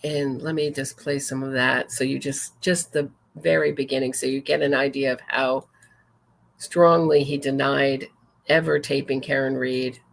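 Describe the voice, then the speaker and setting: A woman's voice: rumbling low, flat delivery, moderate pace, gravelly, poor audio. A woman hosting a true crime talk show, commenting casually and reading messages aloud, often digressing and correcting herself as she goes. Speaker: podcast host.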